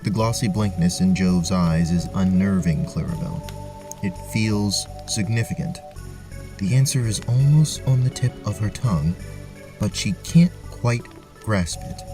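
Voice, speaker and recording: A man's voice: deep voice, unhurried, far from the mic, music in the background. A man narrating a scripted tabletop fantasy story as the game master.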